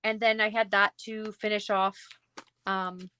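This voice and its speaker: A woman's voice: medium pitch, little pitch movement, a little slow, sharp tone, smooth, dry recording. A woman with a Canadian accent chatting casually in a giggly, rambling way as she hosts a craft stream. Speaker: craft livestream host. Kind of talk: casual chat while crafting.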